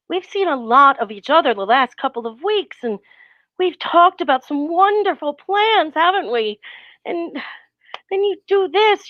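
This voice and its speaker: A woman's voice: extremely high pitch, no room sound, muffled recording. An actress playing a practical, sceptical career woman in a 1940s-style radio play, earnest and reproachful.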